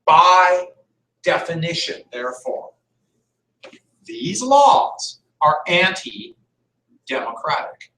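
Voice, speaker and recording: A man's voice: high-pitched, sing-song, extremely slow, long pauses, sharp tone. A male history teacher giving a conversational classroom lecture, repeating key points for emphasis. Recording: echoey room, mic far off.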